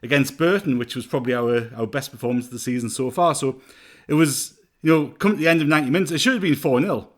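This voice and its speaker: A man's voice: medium pitch, quick pace. A man co-hosting a football podcast over a remote call, giving thoughtful, rambling analysis with plenty of fillers. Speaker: podcast co-host. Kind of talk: casual football podcast chat.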